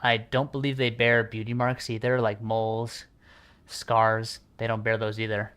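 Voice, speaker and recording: A man's voice: fairly low pitch, completely flat delivery, measured pace, fairly bright tone, smooth. A man giving casual livestream commentary over gameplay, musing and thinking aloud. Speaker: game livestreamer.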